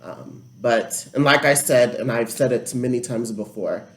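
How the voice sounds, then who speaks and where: A man's voice: mid-range voice, unhurried. A man co-hosting a casual podcast chat, blunt and opinionated. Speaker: podcast co-host. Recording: echoey room.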